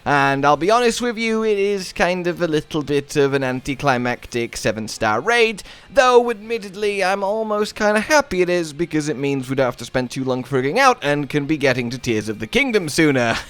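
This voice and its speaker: A male gaming video host with a fairly high voice walks listeners through a game guide. His delivery is chatty and very animated, leaning hard on key words and stretching them for emphasis. The style is casual but planned out, like a practised tutorial narration. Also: brisk, few pauses, clear, resonant tone.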